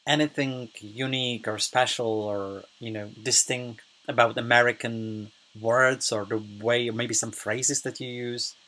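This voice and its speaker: A man with a Czech accent, interviewing guests in English and building his questions slowly, with plenty of "like" and "you know". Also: mid-range voice, steady tone, sharp tone. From Czech Republic. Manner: hesitant phrasing, frequent "like" and "you know". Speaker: host, interviewer.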